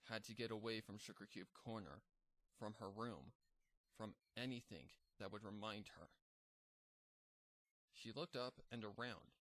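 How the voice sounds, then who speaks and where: A man narrating a dark fan fiction story in a measured, somber reading. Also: fairly low pitch, no room sound. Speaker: fanfic narrator.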